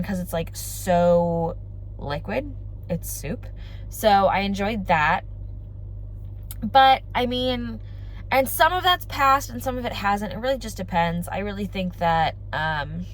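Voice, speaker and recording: A woman's voice: fairly low pitch, slight background noise, rough recording. A young woman with a congested voice, chatting casually and rambling to her vlog audience. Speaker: family vlogger. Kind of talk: casual chat to camera.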